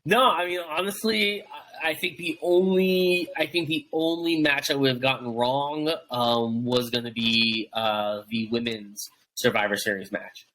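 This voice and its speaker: Bright-voiced rambling young podcast host. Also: male voice, medium pitch, fairly even intonation, a little slow, hardly any pauses, poor audio. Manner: rambling, many fillers and restarts. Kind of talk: casual wrestling podcast chat.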